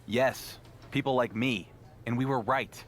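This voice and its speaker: A man's voice: medium pitch, unhurried, a little hiss or hum, close to an everyday voice. Man playing dry, sarcastic champion wrestler. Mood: dry exasperation. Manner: dry, sardonic retorts, defensive under accusation.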